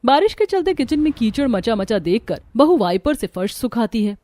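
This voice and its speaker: A woman's voice: fairly high pitch, very animated, moderate pace, warm tone, no room sound. A woman reading scripted narration for a cartoon family story.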